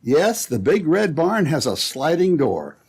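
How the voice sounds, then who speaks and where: A man's voice: on the high side, very animated, a little slow, a little mellow, husky. A man announcing in scripted old-time radio style, with a peppy salesman's delivery. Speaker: announcer and narrator.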